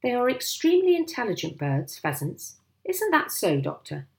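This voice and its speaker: A twinkly, sly father from a storybook, played by a woman. He stays soft-spoken and unruffled, making his case with mock earnestness. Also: a little high, wildly swooping pitch, moderate pace, vocal fry, voice pushed up, heavily acted. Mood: mock-earnest. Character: calm, sly, twinkly father, adult man.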